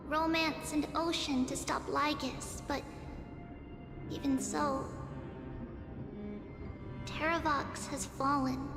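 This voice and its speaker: Game envoy: tiny earnest girl, extremely high, unhurried, in a reverberant hall. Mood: grave. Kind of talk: scripted game dialogue. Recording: echoey hall reverb, game music underneath.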